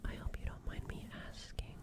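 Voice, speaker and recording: An ASMR streamer voicing a gentle, curious alien caretaker in a breathy whisper, soothing and repeating words and phrases. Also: brisk, natural voice. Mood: gently curious.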